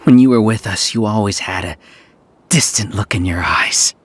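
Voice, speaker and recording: A man's voice: on the low side, moderate pace, slight background noise, close to an everyday voice. A man voicing a bitter, resentful male scholar with a defensive way of talking. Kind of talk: game voice acting.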